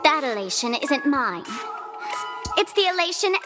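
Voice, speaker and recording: A woman's voice: high-pitched, very animated, measured pace. A woman voicing a mischievous, theatrical young showgirl in a video game, playful and teasing. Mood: defiant. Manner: playful, teasing, sing-song. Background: loud game music.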